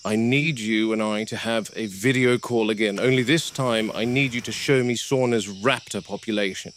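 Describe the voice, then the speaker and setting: A man's voice: lowish voice, fairly even intonation, normal speed, lightly acted. A man playing a grim, clipped game warden. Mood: businesslike. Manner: clipped and terse, quiet and subdued when upset. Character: weathered male park game warden, grim, practical. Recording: noisy, with crickets and insects behind.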